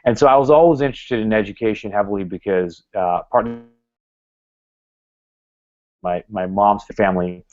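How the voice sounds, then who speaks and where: A man speaking as a guest at a club, his voice sitting a little low. He rambles, circling back with frequent fillers and restarted phrases. The recording sounds dull and lo-fi. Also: normal speed, long pauses, darkish tone. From Canada. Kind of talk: talk to a club.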